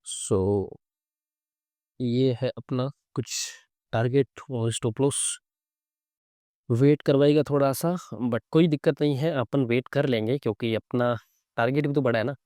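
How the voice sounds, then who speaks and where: A man's voice: mid-range voice, a little slow, mellow, dry recording, clean studio sound. A man with a slightly sore throat hosting a live trading lesson, explaining casually and often checking in with short tag questions. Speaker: trading instructor and live-trade host. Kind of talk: casual live commentary teaching chart reading.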